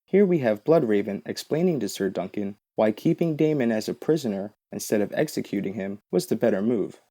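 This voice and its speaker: A man's voice: fairly low pitch, animated, fairly quick, mellow. A man giving scripted, documentary-style narration of fantasy lore in an explanatory, matter-of-fact way.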